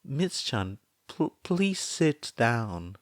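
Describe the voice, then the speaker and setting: A gentle, worried mother who stumbles over her words, as a male reader puts her on. Her voice sits higher than the narrator's and stays soft and hesitant. The recording is close and dry. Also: very animated, takes it slowly, dry recording. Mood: meek. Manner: meek stammering.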